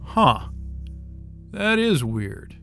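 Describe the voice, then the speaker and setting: An actor playing a nervous, evasive astrophysicist in an audio drama, casual and defensive, with stammers. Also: male voice, on the high side, sing-song, extremely slow, creaky, fairly bright tone, faint music behind, heavily acted. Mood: uneasy. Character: evasive, nervous male astrophysicist at a polar station. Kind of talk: naturalistic audio drama acting.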